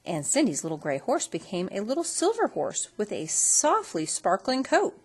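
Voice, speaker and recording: A woman reading a children's story aloud in an expressive storytelling style. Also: medium pitch, moderate pace, slightly rough. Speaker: storybook reader.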